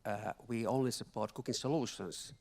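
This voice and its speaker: A man speaking as a panelist at a conference workshop, talking haltingly with many repeated words and restarts. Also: fairly low pitch, creaky, darkish tone. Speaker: panelist from a finance institution. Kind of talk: panel discussion remarks. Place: conference hall.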